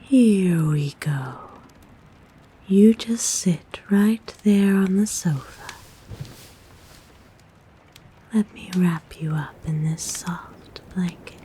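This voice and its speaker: A woman playing a kind, motherly forest witch in a soft, breathy, gentle whisper for an ASMR roleplay. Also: lowish voice, a little hiss or hum. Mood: soothing, tender. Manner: gentle, soothing, coaxing, unhurried. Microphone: close microphone.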